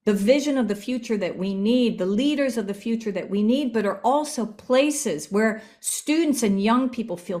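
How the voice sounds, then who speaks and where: A woman's voice: fairly high pitch, a little mellow. A woman speaking earnestly as a panel speaker in a group discussion session.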